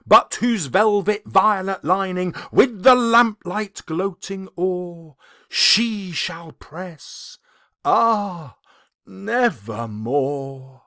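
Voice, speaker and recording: A man reciting gothic poetry theatrically, with heavy emphasis and drawn-out key words. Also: high-pitched, a bit bright, no echo, clean studio sound. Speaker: reader, English teacher.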